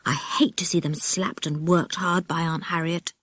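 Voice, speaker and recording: A woman's voice: low-pitched, little pitch movement, moderate pace, fairly bright tone, dry recording, clean studio sound. A woman voicing a protective, downtrodden but determined young boy in a children's audiobook, with a serious manner. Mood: gloomy, determined.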